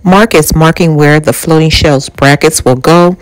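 Low-voiced woman narrating home DIY. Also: normal speed, poor audio. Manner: step-by-step explanatory, with filler ums. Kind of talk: voice-over narration of a DIY project.

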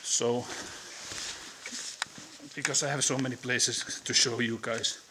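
A man's voice: mid-range voice, steady tone, slow pace, background noise. A man in his thirties with a Finnish accent, tiredly rambling as he explores an abandoned building, recorded on a chest-mounted camera. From Finland. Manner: hesitant, rambling, tired-sounding. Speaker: urban explorer vlogger. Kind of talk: casual vlog narration while exploring. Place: abandoned industrial building, indoors. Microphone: camera on a chest harness.

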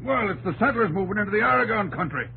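A hearty old-radio trail guide with a high-pitched voice. He is a seasoned frontiersman. The recording is narrow-band with faint noise. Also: animated, fast talker, gravelly. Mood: matter-of-fact. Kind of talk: 1950s radio drama acting.

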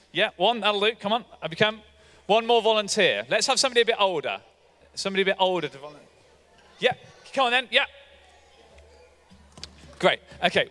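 A man in his forties giving an energetic all-age church talk on a hall stage, coaxing volunteers into a game. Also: high-pitched. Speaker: church leader. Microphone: handheld mic.

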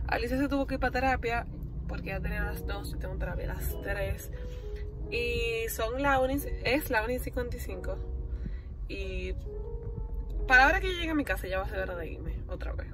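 A woman's voice: medium pitch, expressive, a little fast, over music. A young woman in her twenties chatting casually to a camera held at arm's length, slipping English phrases into her talk. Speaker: vlogger. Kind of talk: casual vlog chat. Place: bedroom, restaurant and car.

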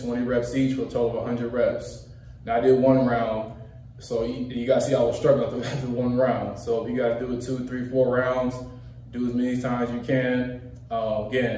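A man's voice: medium pitch, warm tone, a little hiss or hum. A man in his thirties, winded from exercise, casually recapping a workout to camera as a fitness trainer. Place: small indoor garage-style gym. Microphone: camera a few steps away. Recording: echoey room, distant mic.

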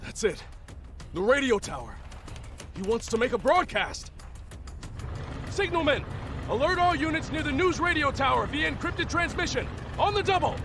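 An actor playing an intense, stern army tank commander in a video game, barking clipped military orders. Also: male voice, extremely high pitch, animated, moderate pace, long pauses, over music. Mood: urgent.

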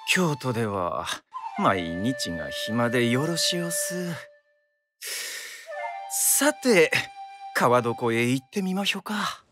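An actor playing a refined, aloof young shrine official with a Kyoto accent, languid and polite. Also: male voice, medium pitch, sing-song, slow, over music. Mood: languid calm.

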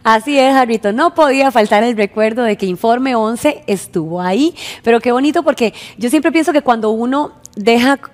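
A woman's voice: a little high, normal speed, hardly any pauses. A woman in her thirties with a Costa Rican accent chatting unscripted as a television presenter. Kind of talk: unscripted studio chat. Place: indoor television studio. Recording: reverberant TV studio.

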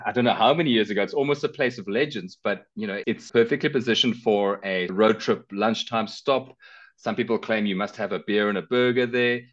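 A man's voice: fairly low pitch, a little fast. A middle-aged man with a South African accent, talking casually as a travel guest in an interview. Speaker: travel guest, car rental company director. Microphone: headset on a video call. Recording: slightly dull video call audio.

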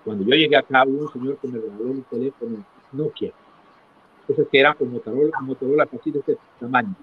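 A middle-aged man recounting his life as an interview guest, in a halting manner, repeating phrases for emphasis. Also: mid-range voice. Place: small indoor room, video call. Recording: tinny phone-like call with clear noise.

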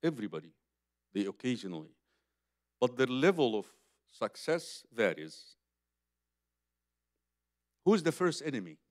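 A man's voice: medium pitch, sing-song, measured pace, long pauses, a little mellow, no echo, studio quality. Older Egyptian man preaching. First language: Arabic. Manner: conversational, emphatic, repeats phrases, addresses audience directly. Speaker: Islamic preacher. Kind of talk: conference religious lecture. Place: conference hall stage, seated at table. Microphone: microphone on a boom stand.

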